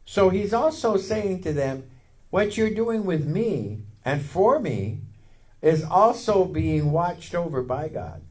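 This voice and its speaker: A man in his fifties giving a sermon testimony, telling a personal story and acting out the words of others with rising excitement. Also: high voice, slow. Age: 50s.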